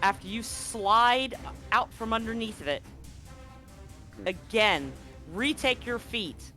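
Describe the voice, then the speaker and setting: Guy game mastering a tabletop rpg, highish voice, dramatic narration then chatty rulings, faint music under it. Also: animated, slow, pushed and bright. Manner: dramatic scene-setting mixed with casual rulings. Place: live online stream.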